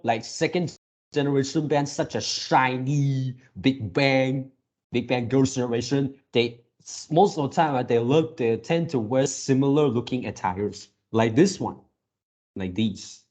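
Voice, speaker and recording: A man giving casual fan commentary as a video creator, halting as he repeats words and searches for phrasing. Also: medium pitch, darkish tone. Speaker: video creator reacting to a game series.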